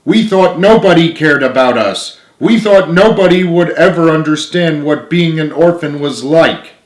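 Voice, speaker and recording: A man's voice: a little high, a little slow, voice pushed up, close to an everyday voice. A man voicing a caring orphan boy who speaks sincerely and pleadingly in a storybook reading. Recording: roomy, echoey home recording.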